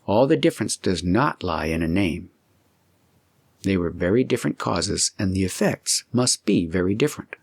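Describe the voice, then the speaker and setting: A man narrates a storybook with deliberate expression. His voice sits on the low side and carries a clear gravelly creak. The delivery is that of a scripted audiobook reading. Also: expressive, dry recording.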